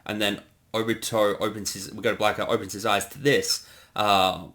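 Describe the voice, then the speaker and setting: Man, casual commentary, lowish voice, explanatory, thinking aloud. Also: little pitch movement, normal speed, pushed and bright. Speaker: co-creator giving commentary.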